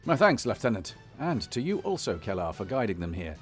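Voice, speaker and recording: An actor playing a noble, resolute young warrior leader in a fantasy game, earnest and commanding. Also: male voice, lowish voice, very animated, a little fast, faint music behind. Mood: warm, formal. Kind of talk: fantasy video game voice acting.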